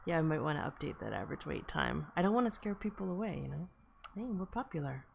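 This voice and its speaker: Female livestream host: chatty, informal, lower register with vocal fry, some room noise. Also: lively intonation, moderate pace. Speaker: host of online script table read. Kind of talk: casual livestream chat. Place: indoors on an online voice chat stream. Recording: clear background noise, clicking.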